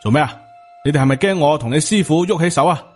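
A man's voice: a little high, lively intonation, fast, faint music behind, voice pushed up. A man with a Hong Kong Cantonese accent voicing a proud, indignant martial uncle in an audiobook, scolding with cold sarcasm. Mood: angry, scornful.

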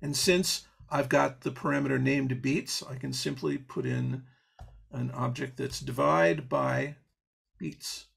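Gravelly man explaining a patch. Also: mid-range voice, sing-song, slow, a bit bright. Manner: explanatory, with fillers and restarts. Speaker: software instructor. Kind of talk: live online coding demo. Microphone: video call.

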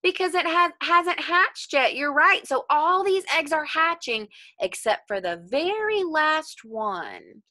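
Young female primary teacher for a children's read-aloud: bright, clear-cut delivery, animated and encouraging, dry sound. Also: sits high, takes it slowly, hardly any pauses, no echo. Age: young adult. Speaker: elementary school teacher.

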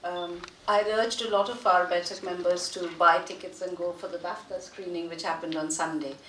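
A woman's voice: lowish voice, fairly even intonation, normal speed, a bit bright. A middle-aged woman moderating an event, giving a welcome address to an audience. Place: large lecture theatre, indoors. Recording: echoey hall, distant, noisy.

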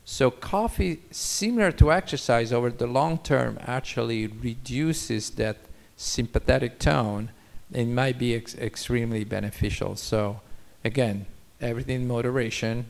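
A man's voice: mid-range voice, normal speed, creaky, few pauses, a little mellow. Italian-accented man on an expert panel. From Italy. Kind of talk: informal expert Q&A. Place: auditorium stage. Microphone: handheld microphone.

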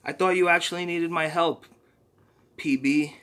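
An exasperated teenage girl, as a man reads her lines, impatient and sarcastic. His voice stays bright and close to his own, with only a slight lift. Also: fairly high pitch, steady tone, measured pace, voice pushed up.

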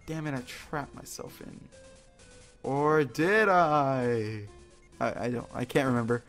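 Male gaming host, mid-pitched, casual and self-mocking with light swearing, game music underneath. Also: takes it slowly, fairly bright tone. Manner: jokey, self-deprecating, informal with mild swearing. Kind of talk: casual gameplay commentary. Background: video game music.

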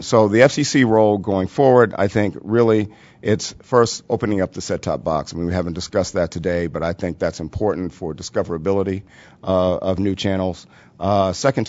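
A man with a very deep voice speaks on a conference panel in a measured, point-by-point way, often pausing on fillers. The recording is dull and lo-fi. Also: darkish tone. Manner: measured, policy-focused, many "uh" fillers. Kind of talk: panel presentation. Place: conference room at a workshop.

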